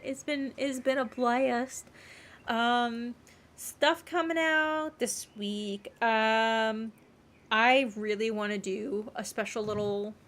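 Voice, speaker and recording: A woman with a fairly high voice chats casually on a livestream. She rambles along in an animated way, repeating words for emphasis as she talks to her viewers. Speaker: game streamer.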